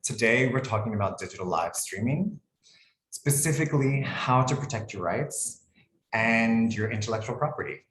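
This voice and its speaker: A man with an American accent, a lawyer presenting a webinar in a relaxed, conversational way. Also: mid-range voice, moderate pace, roomy sound, poor audio. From United States. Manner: relaxed, conversational, frequent ums and "cool".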